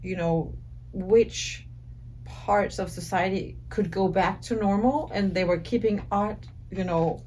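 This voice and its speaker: A woman's voice: medium pitch, takes it slowly, darkish tone, a little hiss or hum, low-quality recording. A woman, a singer-songwriter, talking thoughtfully about her album, with restarts as she looks for words. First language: Danish. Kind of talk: casual conversation. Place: recording studio.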